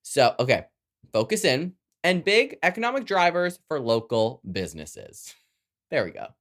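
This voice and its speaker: Lively, sassy Canadian podcast host. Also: mid-range voice, normal speed. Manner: sassy, playful. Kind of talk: scripted news round-up with chatty, campy asides.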